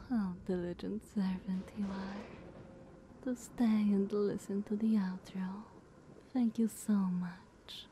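A woman playing a dominant vampire sister in an ASMR roleplay, speaking softly and breathily with sweet condescension. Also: mid-range voice, a little hiss or hum, close to an everyday voice. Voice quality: soft, breathy, often whispered.

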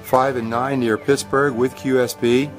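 A man's voice: mid-range voice, level tone, measured pace, soft background music. An American man chatting casually and courteously as an amateur radio operator. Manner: friendly, courteous. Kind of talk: casual ham radio contact.

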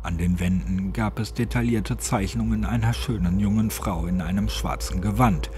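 A man's voice: fairly low pitch, moderate pace, darkish tone, slight background noise. A man reading a scripted horror story as an audiobook narrator. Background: faint music.

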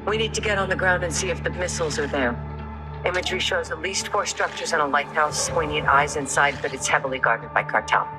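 An actor playing a focused covert intelligence officer, briefing matter-of-factly in a video game. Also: male voice, on the high side, lightly acted. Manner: brisk, matter-of-fact briefing. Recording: loud music behind.

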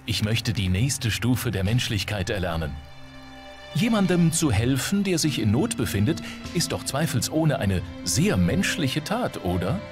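Very sing-song, earnest game android. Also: male voice, mid-range voice, very animated, measured pace. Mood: earnest, matter-of-fact. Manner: formal, precise, guileless. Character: earnest, naive male android learning humanity. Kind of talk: video game voice acting. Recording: background music. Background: game music.

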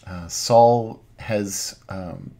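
A middle-aged man, an Anglican priest, giving an informal online sermon from his home den in measured, halting phrases. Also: on the low side, mellow. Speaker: Anglican priest, parish pastor. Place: small home den with bookshelves.

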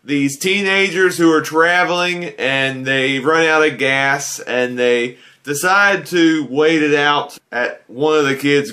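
A man's voice: medium pitch, sharp tone. A man in his thirties giving a casual, earnest spoken film review from a desk webcam. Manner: conversational, earnest, uses filler phrases like "you know". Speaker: guest movie reviewer. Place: indoors in front of a green-screen backdrop.

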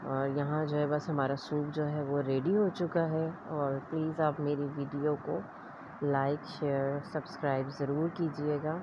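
Low-voiced woman narrating a recipe. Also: little pitch movement, unhurried. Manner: explanatory, step-by-step instruction. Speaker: host of a home cooking vlog. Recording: dull lo-fi audio with clear background noise.

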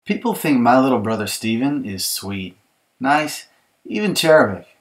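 A man's voice: medium pitch, big pitch swings, normal speed, natural voice. A man reading a children's picture book as an exasperated young girl complaining about her bratty little brother, emphatic and mock-aggrieved. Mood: indignant. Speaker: author reading his audiobook.

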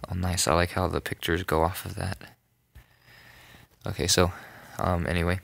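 A young man narrating a casual phone tutorial, rambling, with many ums and self-corrections, in a soft voice. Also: low-pitched, flat delivery, normal speed, creaky, dry recording. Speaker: tutorial maker. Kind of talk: casual screen-recorded tutorial.

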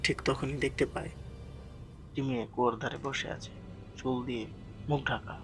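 A man's voice: mid-range voice, fairly even intonation, normal speed, over music. A man narrating a scripted horror story in a dramatic, suspenseful way as the storyteller.

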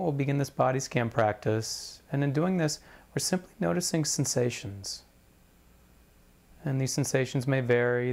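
Guy teaching guided meditation, medium pitch voice, measured and deliberate, pauses between phrases. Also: a little mellow.

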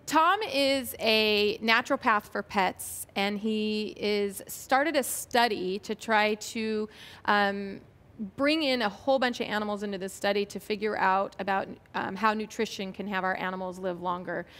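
A woman in her forties hosting a pet podcast, chatting in a friendly way with speeding and slowing pace, on a lapel mic in a bright studio. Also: mid-range voice. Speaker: podcast host. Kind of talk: casual chat.

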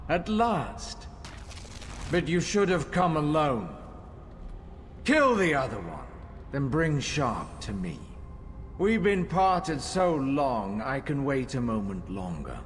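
A man's voice: mid-range voice, big pitch swings, takes it slowly. A man voicing a pompous, controlling villain in a video game, possessive and drawing out his words. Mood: silky menace. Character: theatrical, controlling male villain, a cult-like master. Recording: long echoing hall, faint background noise.

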